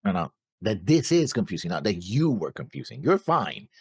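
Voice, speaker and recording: A man with a low, rough-edged voice whose pitch moves around a lot. He chats casually as a reaction show co-host, thinking aloud in halting bursts, recorded dry. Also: sing-song. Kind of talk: casual discussion of an animated series.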